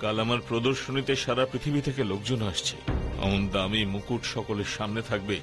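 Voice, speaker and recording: A man playing a polite, worried gentleman in a cartoon, speaking hesitantly and anxiously. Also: on the low side, steady tone, fairly quick, over music, natural voice. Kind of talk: cartoon dubbing in Bengali.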